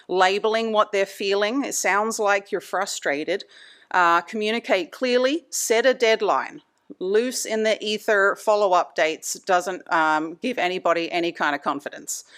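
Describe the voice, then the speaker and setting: Australian woman lecturing, smooth clear voice. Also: mid-range voice. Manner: lively, chatty, laughs between points. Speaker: anesthesia department leader giving a talk. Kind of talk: presentation lecture. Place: conference room.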